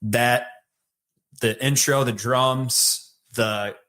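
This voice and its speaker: A man's voice: mid-range voice, fairly even intonation, drawn-out words, bright, forceful tone. A man with a Michigan accent, a sales leader telling his life story in a rambling, easygoing way as a guest on a talk show. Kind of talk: casual conversation.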